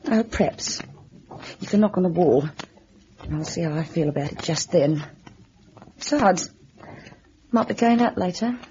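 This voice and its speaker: A woman's voice: on the low side, lively intonation, moderate pace, pauses often, dark tone, natural voice. An actress playing a brash, coy and defiant young working-class woman lodger in old-time radio drama style. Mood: coy, teasing. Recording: muffled, noisy old radio drama recording.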